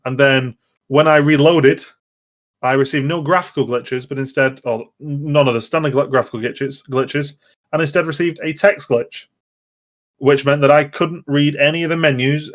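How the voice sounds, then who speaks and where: Guy hosting a casual gaming podcast, middling pitch, rambles and cuts himself off. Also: fairly even intonation, normal speed. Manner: chatty, self-interrupting, digressive. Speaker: podcast host.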